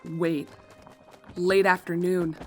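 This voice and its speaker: A woman's voice: fairly low pitch, little pitch movement, slow, soft background music. A woman reading scripted story narration as the narrator of a fan fiction.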